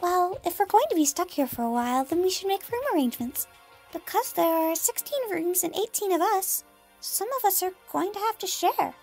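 A woman's voice: very high voice, animated, brisk, darkish tone, faint music behind. A woman voicing a cheery, childish young female character in a bubbly sing-song way for a fan audio drama. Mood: cheerful.

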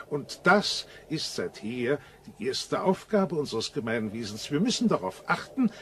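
An actor playing a courteous, cautious male leader in a sci-fi radio play, speaking in a formal, measured manner. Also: medium pitch, lightly acted. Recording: radio play with noisy ambience behind.

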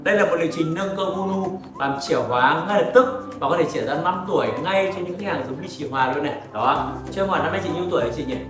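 A man's voice: a little high, fast, hardly any pauses, far from the mic. A man in his thirties hosting a spa clinic promo, interviewing a customer in an upbeat, salesy way with lots of tag questions. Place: decorated spa studio set, indoors. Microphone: lapel mic. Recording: echoey room, off-mic, music behind.

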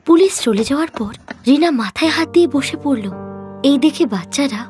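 A woman's voice: high voice, animated, moderate pace, music in the background. A woman narrating a moral story as a storyteller, reading from a script.

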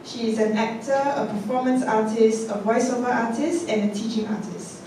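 A woman emcee reading out panel speaker bios on a theatre stage, measured and steady from her notes with the odd um. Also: on the high side. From Singapore. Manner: measured, reads from notes with occasional ums. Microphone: handheld mic, heard from a distance. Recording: echoey hall, voice from far off, noisy.

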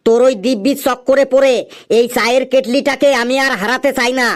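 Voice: high-pitched, fairly even intonation, a little fast, natural voice. An actor playing a grumpy, stubborn tea stall keeper in a comedy cartoon, scolding and insistent. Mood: exasperated, stubborn.

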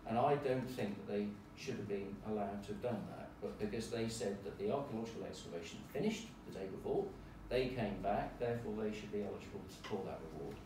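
Low-voiced man in an echoey room. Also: a little fast, few pauses. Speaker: panel speaker. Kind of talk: panel discussion remarks. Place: lecture room, indoors. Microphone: far camera in the audience. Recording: echoey lecture room, distant mic, noisy.

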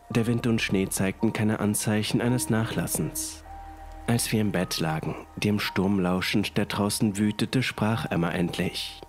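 Male narrator for creepy audiobook, lower register, scripted first-person delivery, music and rain underneath. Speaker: horror story narrator. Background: music and rain sounds.

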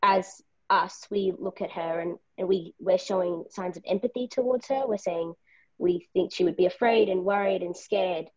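A woman's voice: lowish voice, normal speed, dull audio. A woman participant making a thoughtful, analytical spontaneous comment over a video call. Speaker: workshop participant.

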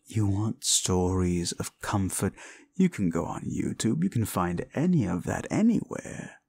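A man's voice: low-pitched, big pitch swings, a little slow, creaky, darkish tone, slightly rough, heavily acted. A man playing a cruel, condescending demon in an audio roleplay, talking down to the listener with mocking, theatrical delivery. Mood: contemptuous. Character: condescending, cruel male demon summoned as a date.

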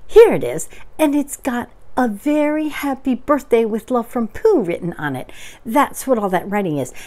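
A woman voicing a cheerful, simple male toy bear from a storybook, hearty and excited. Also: on the high side, moderate pace, pitched above the performer's own voice. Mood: proud.

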